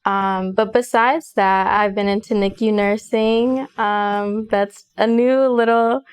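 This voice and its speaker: Smooth-voiced young woman from Chicago. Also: mid-range voice, fairly even intonation, slow. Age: young adult. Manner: thoughtful, hesitant with many ums. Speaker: college student guest. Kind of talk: casual interview.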